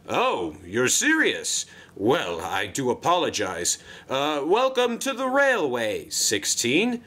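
A pompous railway controller as a man acts him out for a children's train tale. He is formal, effusive and eager, with swooping high inflections and a bright, forceful delivery. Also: huge pitch swings, slow, heavily acted. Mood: politely flustered. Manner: formal, effusive, flustered when surprised.

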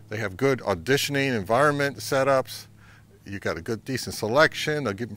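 A man's voice: medium pitch, a bit bright, no room sound. A middle-aged man with a North American accent giving informal, emphatic advice to camera as a channel host. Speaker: vintage audio channel host. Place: outdoors in woodland.

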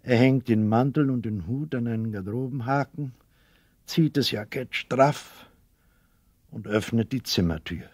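A man's voice: medium pitch, measured pace, darkish tone, no echo, lightly acted. A man acting as the narrator of a children's radio play, telling the story in scripted narration. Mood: measured narration. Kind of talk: scripted audio-drama narration.

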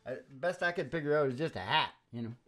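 A man's voice: a little high, fast talker, fairly bright tone. An older man hosting a radio music show, speaking into a stand microphone in joking, rambling banter with a wry manner. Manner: wry, jokey, chuckles often. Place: small dim indoor room with wood-panelled wall. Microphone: microphone on a stand, close.

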